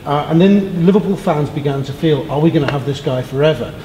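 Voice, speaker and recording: Mellow-voiced man from North London, talking fast. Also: fairly high pitch. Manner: stammering restarts, chatty. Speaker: co-host. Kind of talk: casual interview chat. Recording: echoey room with faint noise.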